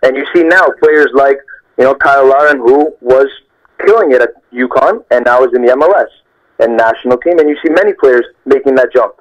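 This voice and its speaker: A man's voice: medium pitch, a little fast. Earnest young man on a muffled phone line. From Canada. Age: young adult. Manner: earnest, repeats phrases for emphasis. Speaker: interview guest, professional footballer. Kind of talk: phone interview, reflective storytelling.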